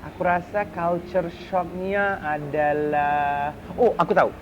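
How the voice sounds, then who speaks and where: A woman's voice: lowish voice, steady tone, slow pace. Malaysian woman chatting over muffled background noise. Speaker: guest, TV host and event MC. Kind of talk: casual chat mixing Indonesian and English. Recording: muffled, noisy ambient background.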